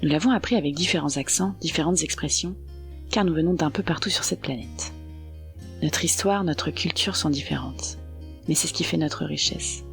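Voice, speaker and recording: A podcast host reading a scripted introduction in a measured, even way. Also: mid-range voice, creaky. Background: soft guitar music.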